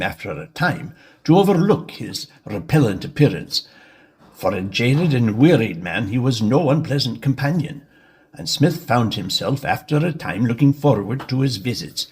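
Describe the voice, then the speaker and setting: A man narrating a literary story from a script in a measured, formal manner. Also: medium pitch, a little mellow, slightly rough.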